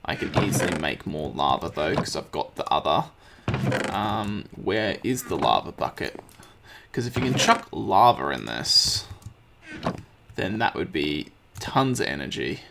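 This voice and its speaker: A man with a slightly croaky voice, casually commenting on his gameplay and thinking aloud as he crafts. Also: deep voice, very animated, unhurried, noisy background. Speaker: let's play host.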